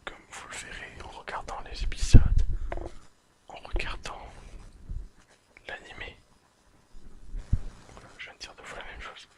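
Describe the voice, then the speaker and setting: A person whispering an ASMR recap in a breathy, unvoiced voice, speaking deliberately in short, separated phrases as the host. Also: rough recording. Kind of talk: whispered ASMR episode summary and commentary.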